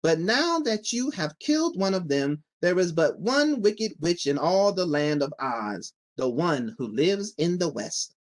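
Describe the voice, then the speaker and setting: A man voicing a kindly little old woman, a good witch in a storybook, who explains things with gentle assurance. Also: sits high, big pitch swings, unhurried, hardly any pauses. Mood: explaining.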